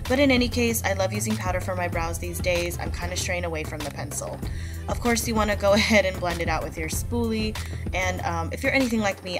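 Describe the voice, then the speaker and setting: A young woman, stuffy-nosed from a cold, talking through a casual makeup tutorial in a chatty, slangy way with plenty of fillers and asides. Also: fairly low pitch. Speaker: beauty vlogger and makeup artist.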